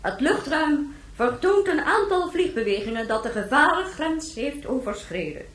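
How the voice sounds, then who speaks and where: High-voiced, stately female president. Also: sing-song, fairly bright tone. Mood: solemn, official. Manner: stately, measured, official. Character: formal female planetary president reading emergency measures. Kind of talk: formal government broadcast speech in radio drama. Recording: echoey, roomy sound.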